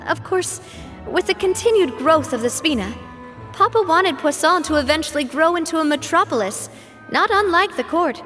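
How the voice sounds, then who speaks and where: An actress playing a confident, playful young woman who leads a local group, teasing and laughing often, in a game cutscene. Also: high voice. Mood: reflective. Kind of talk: game voice acting.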